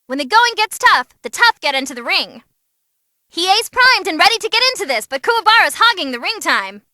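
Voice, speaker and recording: An actress voicing an excitable, cheeky young female ring announcer, giving animated, theatrical fight commentary in a video game. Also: very high-pitched, fairly quick, no echo, studio quality, exaggerated voice. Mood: wry commentating. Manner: perky, theatrical, wisecracking. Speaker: match commentator.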